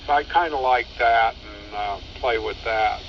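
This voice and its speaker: A man chatting casually over ham radio in a halting, rambling, matter-of-fact way. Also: on the low side. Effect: two-way radio transmission. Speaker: amateur radio operator. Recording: dull two-way radio signal, faint noise.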